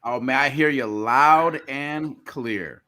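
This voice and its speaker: A man's voice: mid-range voice, takes it slowly, crowd noise. A man co-hosting a podcast, introducing the guest with enthusiastic hype-style intros and stumbling over some words. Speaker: podcast co-host.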